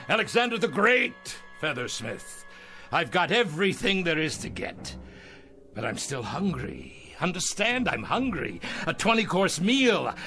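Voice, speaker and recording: An actor playing a ruthless, boastful older tycoon in an audio drama, with a theatrical, sardonic manner. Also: male voice, fairly high pitch, bright, forceful tone, heavily acted. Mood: bitter gloating. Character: ruthless aging male tycoon, boastful, hungry, nostalgic for hometown.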